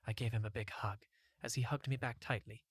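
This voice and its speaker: Man narrating, husky voice, very dry sound. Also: mid-range voice, steady tone, fairly quick, no echo. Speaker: audiobook narrator. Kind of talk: scripted first-person story narration.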